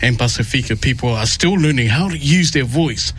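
A man's voice: mid-range voice, expressive, brisk, fairly bright tone, slightly rough. A male radio host interviewing musicians in a casual, rambling way, with many restarts. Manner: rambling, repeats words and restarts often. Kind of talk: warm casual interview with Pacific greetings.

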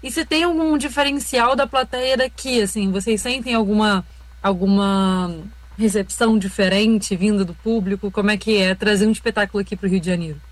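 A woman's voice: mid-range voice, brisk, dry recording. A woman interviewer asking long, curious questions.

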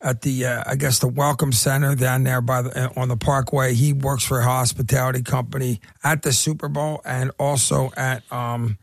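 A man co-hosting a casual radio chat, talking haltingly with lots of fillers and restarts. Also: medium pitch, flat delivery, vocal fry, dry recording, studio quality. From Irish heritage. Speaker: radio co-host.

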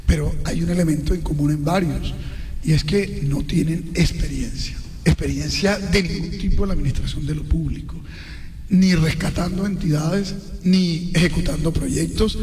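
A man's voice: on the high side, darkish tone, big-room sound. A middle-aged man from the Colombian Caribbean coast with a coastal Colombian accent, a political candidate talking earnestly as a guest on a radio interview, piling up lists of points.

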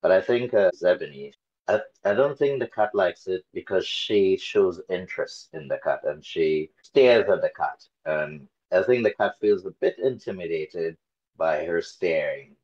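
Very deep-voiced man, relaxed and hesitant. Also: a little slow, lo-fi sound. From Trinidad and Tobago. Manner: relaxed, hesitant with many fillers. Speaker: interview guest, dog carer volunteer. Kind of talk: casual interview conversation.

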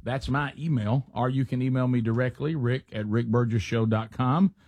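A man, hosting a radio show and telling stories casually, animated, often repeating himself. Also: medium pitch, moderate pace, no echo. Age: 60s. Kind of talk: casual storytelling and banter.

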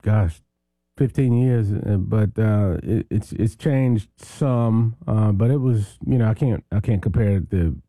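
Low, dark-voiced mayor as guest. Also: male voice, slow pace, no room sound. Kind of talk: casual radio interview.

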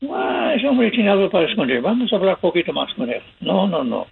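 Gravelly American man on a phone line. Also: high voice, very animated, brisk, a little hiss or hum. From United States. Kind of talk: radio call-in advice.

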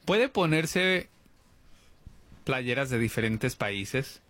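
A man, co-hosting a casual radio chat in an animated way. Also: medium pitch, drawn-out words. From United States. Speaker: radio co-host.

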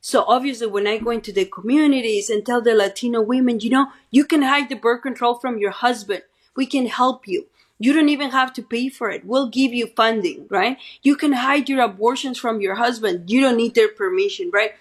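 A woman's voice: on the high side, fairly quick, breathy edge. A middle-aged woman from Mexico with a Mexican accent and Spanish as her first language, answering interview questions earnestly and ending many statements with "right?". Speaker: guest, pro-life speaker.

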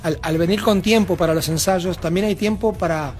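A man's voice: sits high, a little fast, faint music behind. A Uruguayan man with a Uruguayan Spanish accent, a band member chatting casually in an interview backstage, rambling with many fillers and restarts. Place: backstage at a music venue.

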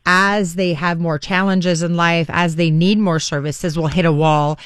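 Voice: mid-range voice, level tone, measured pace, fairly bright tone, clean tone, dry recording. A radio host whose first language is Greek, chatting energetically on live radio from a studio and steering an interview.

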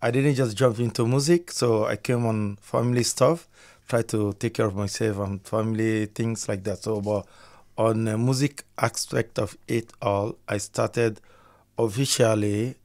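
A man from Nigeria, a musician guest on a radio interview, talking in an upbeat way and trailing off softly at the ends of his phrases. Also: on the low side, steady tone, a little slow.

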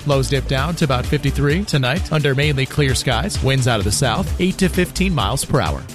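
A man reading the local weather forecast on radio in a brisk, clear delivery. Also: medium pitch, expressive, music in the background. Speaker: meteorologist.

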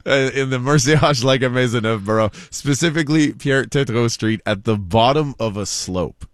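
A man's voice: mid-range voice, moderate pace, dry recording, studio quality. A man co-hosting a talk show, retelling offbeat news in a casual, jokey way. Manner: chatty, jokey storyteller. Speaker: talk show co-host telling news stories.

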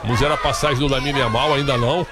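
A man's voice: mid-range voice, steady tone, fast. A Brazilian man working as a sports broadcast commentator, giving live, unscripted running commentary with frequent tag questions. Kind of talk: live ad-libbed commentary on a team leaving its hotel. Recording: noisy urban crowd ambience. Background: loud crowd outdoors.

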